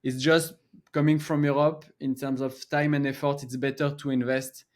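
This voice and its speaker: A man's voice: mid-range voice, level tone. Male guest, French accent, middle-aged, hesitant interview delivery. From France. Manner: halting, many filler pauses and restarts. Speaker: co-founder and gallery guest. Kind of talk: podcast interview.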